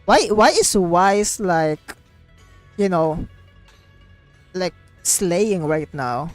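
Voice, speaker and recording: A woman's voice: lowish voice, extremely slow, no room sound, soft background music. Rambling woman livestreamer, dry close sound. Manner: rambling, repetitive, informal. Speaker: game livestreamer. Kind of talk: casual livestream chat and game commentary.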